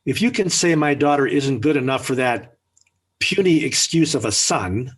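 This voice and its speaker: Sarcastic american sitcom dad from 40s radio, touchy, kinda rough voice, pitch all over the place. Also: male voice, animated, moderate pace. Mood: indignant, sarcastic. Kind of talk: 1940s radio sitcom acting. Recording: old 1940s radio recording.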